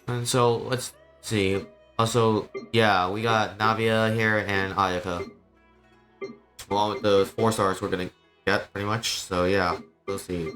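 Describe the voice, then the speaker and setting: A man with a fairly low voice chats casually over gameplay on a livestream. His delivery is halting and rambling, with faint music playing behind him. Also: fairly even intonation, a bit bright. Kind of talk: casual gameplay stream commentary.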